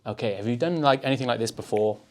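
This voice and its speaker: A young Welsh man hosting a podcast, chatting casually with jokes and quick laughs. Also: medium pitch, slight background noise. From Wales. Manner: joking, rambling, quick laughs. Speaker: podcast host. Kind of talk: casual chat.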